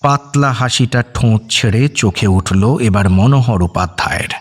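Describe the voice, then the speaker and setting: A man's voice: fairly low pitch, normal speed, husky. A man narrating an audio story in a dramatic, scripted style. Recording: music bed under narration.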